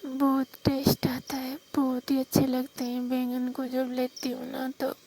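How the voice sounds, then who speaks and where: A woman playing a shy, hesitant young married village sister-in-law on a staged night phone call, with a Rajasthani accent and a coy, soft-spoken manner. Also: high-pitched, monotone, a little slow, a little hiss or hum. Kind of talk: staged phone-call role-play in casual talk.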